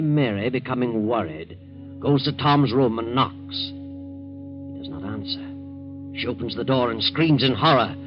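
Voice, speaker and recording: An actor playing an ominous, all-knowing storyteller, narrating deliberately in 1940s radio style. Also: male voice, medium pitch, close to an everyday voice. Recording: dull vintage 1940s radio recording. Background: music.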